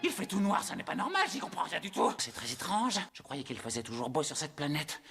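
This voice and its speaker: A man's voice: fairly high pitch, big pitch swings, fairly quick, creaky. A man voicing a proud, anxious, scheming villain muttering to himself in a French anime dub, agitated and theatrical. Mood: alarmed, suspicious. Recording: loud soundtrack music over dialogue.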